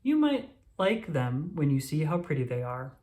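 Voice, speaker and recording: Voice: mid-range voice, sing-song, moderate pace, a little mellow, close to an everyday voice. A narrator voicing a friendly, encouraging father tiger in a children's storybook.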